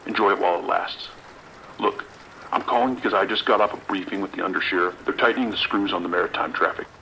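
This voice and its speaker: A man's voice: low-pitched, fast talker, pushed and bright, a little hiss or hum, low-quality recording. A man playing a stern, authoritative sheriff's lieutenant with a clipped delivery in an audio drama. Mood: firm, urgent.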